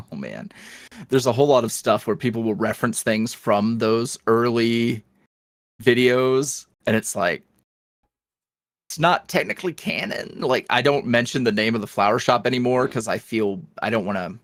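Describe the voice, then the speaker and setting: A man's voice: mid-range voice, normal speed, no echo. Male guest, chatty and meandering, dry close sound. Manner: rambling, filler-heavy, self-deprecating. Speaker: podcast guest, ASMR roleplay creator. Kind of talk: casual interview chat.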